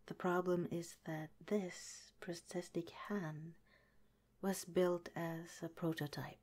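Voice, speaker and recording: A woman plays a kindly head scientist who whispers every word, low and unhurried. Her tone is mellow and tender, soothing a listener toward sleep in an audio roleplay. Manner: soft, tender, reassuring. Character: gentle caring female head scientist, middle-aged, soothing. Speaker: institute director in an audio roleplay. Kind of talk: ASMR roleplay.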